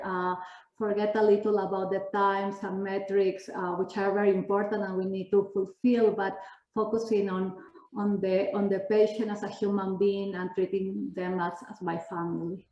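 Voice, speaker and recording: A woman's voice: medium pitch, dark tone. A middle-aged woman with a Peruvian Spanish accent, answering interview questions conversationally as a guest. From Peru. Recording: roomy, distant, dull lo-fi sound.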